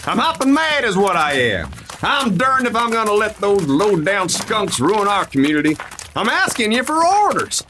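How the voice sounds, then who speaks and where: A man playing an excitable, folksy old-west sheriff in a radio play, blustery and grumbling, with a twangy American Western drawl. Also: high voice, normal speed, a little hiss or hum, full character voice. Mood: fired up. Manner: blustery, exclamatory cowboy slang.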